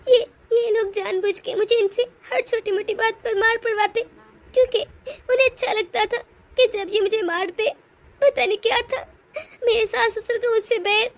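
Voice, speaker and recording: Woman playing a tearful mother-in-law, sobbing, resigned, very high voice. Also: fairly even intonation, normal speed. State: crying. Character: long-suffering middle-aged mother-in-law, meek and tearful.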